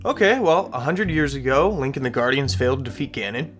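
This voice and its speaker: A man's voice: mid-range voice, lively intonation, fairly quick, a bit bright, faint music behind, lightly acted. An actor playing an enthusiastic, easygoing video game fan, a young man with an eager way of talking in a comedy sketch. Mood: thoughtful. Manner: eager, exclamatory. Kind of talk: scripted comedic dialogue.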